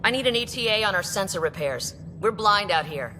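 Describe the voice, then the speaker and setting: A woman's voice: mid-range voice, lively intonation, brisk, long reverb tail, over music, natural voice. A woman playing a firm, protective starship captain in a cinematic video game. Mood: resigned, firm.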